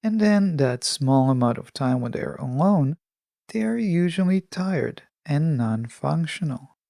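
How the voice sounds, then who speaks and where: Creaky-voiced woman hosting a podcast. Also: sits low, warm tone, no room sound, clean studio sound. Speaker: podcast host. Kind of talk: calm solo self-help podcast monologue.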